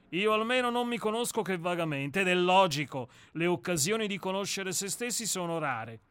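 A man's voice: fairly high pitch, a bit bright, dry recording. A man reading the part of a blunt, hard-drinking, bald novelist in a radio play, in a brusque, self-mocking way. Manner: brusque, self-mocking first-person storytelling.

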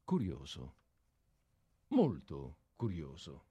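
A man's voice: very deep voice, huge pitch swings, extremely slow, warm tone, husky, dry recording. A man co-hosting a radio programme in a casual, playful way, with a hesitant, wry and mock-formal manner. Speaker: radio show co-host.

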